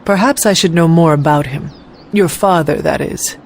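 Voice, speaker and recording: A woman playing an earnest, resolute young warrior in a video game, speaking in a measured way. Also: low-pitched, slight background noise. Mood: curious, sympathetic. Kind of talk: video game cutscene acting.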